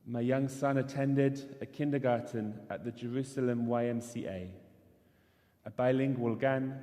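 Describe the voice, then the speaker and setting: A man giving a measured, earnest sermon as a rabbi in a synagogue. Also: medium pitch, mellow. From not Israel. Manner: measured, earnest preaching cadence. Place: synagogue sanctuary. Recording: long hall reverb.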